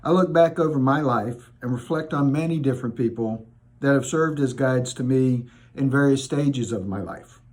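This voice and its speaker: A man's voice: mid-range voice, a little slow, darkish tone. A middle-aged man talking to teenagers in a friendly, conversational way about the mentors in his life. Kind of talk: informal talk to teenagers.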